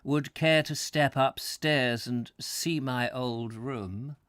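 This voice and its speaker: Shy, hesitant bookseller, male and polite, slow and tentative, as a man reading a story puts him on. The voice sounds dry and close, with no room echo. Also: medium pitch, a bit bright, clear, resonant tone.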